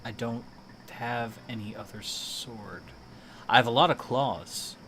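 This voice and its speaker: A man's voice: mid-range voice, slow, noisy background. A man chatting casually and thinking aloud as he streams a video game, in a playful manner.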